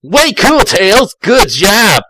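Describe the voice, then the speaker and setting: Cartoon hedgehog hero, voiced by a man: cocky, breezy, pitched up, for a story reading. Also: big pitch swings, takes it slowly, sharp tone, dry recording, exaggerated voice, rough recording. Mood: excited.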